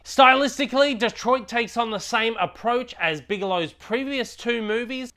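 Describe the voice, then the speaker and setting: A young Australian man hosting his own review channel, his voice sitting fairly high for a man. He sounds stuffy from a head cold and delivers a scripted film review earnestly, leaning on key words and dipping low at the close of each sentence. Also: a bit bright. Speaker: movie reviewer, channel host. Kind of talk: scripted movie review to camera.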